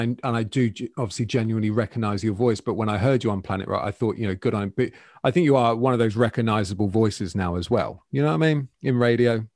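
A man's voice: mid-range voice, darkish tone. A man hosting an interview podcast, chatting in a friendly, encouraging way with his guest. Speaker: podcast host. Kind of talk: casual interview chat.

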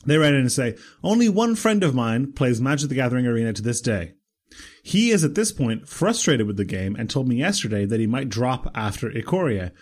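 A man's voice: medium pitch, very animated, brisk. A man with an Irish accent co-hosting a podcast, playful in casual banter and reading out listener questions. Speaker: podcast co-host.

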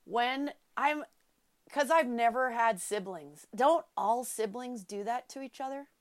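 A woman with a somewhat high voice chats casually, tossing in short curious questions. She sounds close to the mic in a dry space. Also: unhurried, dry recording. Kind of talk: casual chat.

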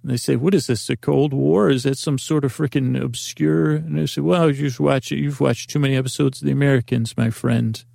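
A man hosting a podcast, meandering through a soft, self-deprecating bedtime monologue full of fillers. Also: mid-range voice, steady tone, a little fast, gravelly, few pauses, no echo, clean studio sound. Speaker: podcast host.

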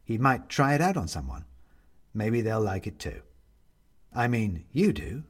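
A man narrating a story in an expressive, reflective way with measured phrasing. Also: fairly low pitch, breathy edge. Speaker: audiobook narrator.

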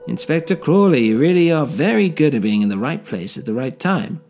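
A man's voice: on the high side, animated, darkish tone, pitched above the performer's own voice. A smug, theatrical villain with a raised, swooping voice that lingers over its words in mock sweetness, as a male storyteller puts him on. Each line is drawn out with sarcastic relish. A faint music bed sits behind him. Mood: gloating. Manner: mocking, gloating, drawn-out sarcastic delivery. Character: smug, theatrical middle-aged male villain scientist boss.

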